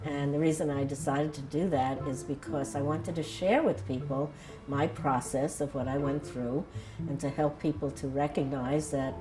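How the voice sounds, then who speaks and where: A woman's voice: deep voice, steady tone, normal speed, poor audio. An elderly woman, a retired nurse talking frankly and thoughtfully at her home in a documentary interview. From Brooklyn, New York. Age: 80s. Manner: frank, plain-spoken and reflective. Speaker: retired nurse and death cafe organizer.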